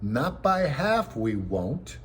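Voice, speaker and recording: A man puts on a skinny bandit sidekick from a children's book. The voice leaps high and loud, crude and excitable, as he blurts out each remark. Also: takes it slowly, slight background noise. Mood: greedy, startled.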